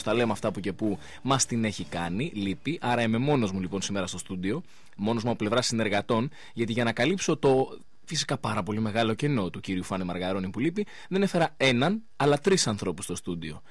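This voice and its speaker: A man hosting a live radio interview in speech, chatting informally with pauses as he goes. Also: on the low side. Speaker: radio show host.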